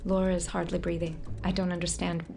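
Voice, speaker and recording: A woman's voice: fairly low pitch, completely flat delivery, fast, gravelly, mellow, slight background noise. A woman playing a frightened but defiant survivor in an audio drama, tense, pleading and questioning. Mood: shaken, questioning.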